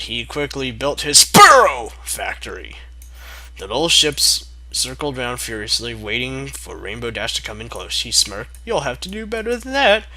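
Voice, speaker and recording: Man, fanfic reader, mocking asides, animated voice, bright tone. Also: medium pitch. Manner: mocking asides, bursts of laughter. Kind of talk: comedic fanfic reading.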